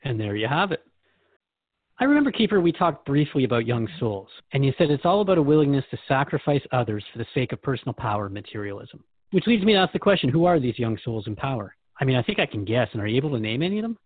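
A man's voice: mid-range voice, big pitch swings, fast, dry recording, phone-quality sound. A man playing a curious, earnest seeker questioning a spiritual guide in a conversational scripted dialogue. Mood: eager, inquisitive. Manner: inquisitive, conversational.

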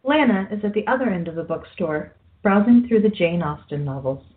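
A woman's voice: medium pitch, expressive, a little fast. A woman reading scripted narration for a radio play. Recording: dull lo-fi audio.

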